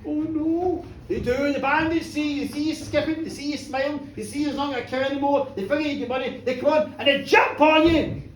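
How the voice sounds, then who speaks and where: A woman's voice: high-pitched, brisk, hardly any pauses. A woman telling a folk tale live in a theatrical, colloquial way, repeating phrases for effect. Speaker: storyteller. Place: indoor bar, live performance. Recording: echoey bar, distant mic, background noise.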